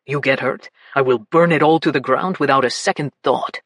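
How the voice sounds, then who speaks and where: A possessive man's voice, dropped deep and murmuring low and coaxing, as a woman narrator puts him on. The tone is bright and forceful, with a husky edge, close and dry. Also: moderate pace, dry recording, full character voice. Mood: fierce. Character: commanding, possessive grown male lover, low and coaxing.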